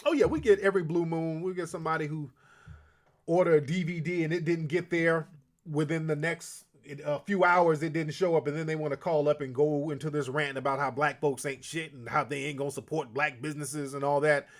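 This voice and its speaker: A man's voice: fairly high pitch, fairly even intonation, brisk. Blunt, emphatic male talk-show host.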